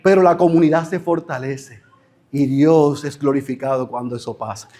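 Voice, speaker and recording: A man preaching a sermon as pastor, acting out imagined conversations with animated emphasis. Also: medium pitch, moderate pace.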